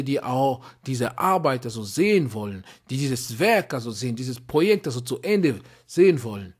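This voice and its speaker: A man preaching a sermon in a language he learned as an adult, hesitant and repeating words, then rising to emphatic, high-pitched exhortation. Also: a little mellow, breathy edge. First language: not German. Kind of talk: impassioned sermon.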